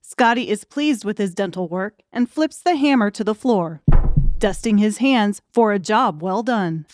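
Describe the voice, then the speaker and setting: A woman's voice: medium pitch, expressive, unhurried, darkish tone, no echo, studio quality. A woman reading scripted, dramatic audiobook narration.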